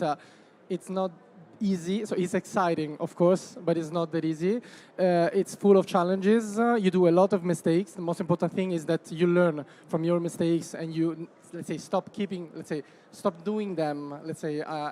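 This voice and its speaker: A man with a notably high voice answers a question on a conference panel. He talks conversationally, returning again and again to the same filler phrases as he goes. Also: darkish tone, a little hiss or hum. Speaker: company executive on a panel.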